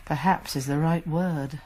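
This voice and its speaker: A woman's voice: low voice, steady tone, slow, a little mellow, a little hiss or hum, poor audio. An actress playing an earnest, sceptical woman in a radio drama. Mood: sceptical protest.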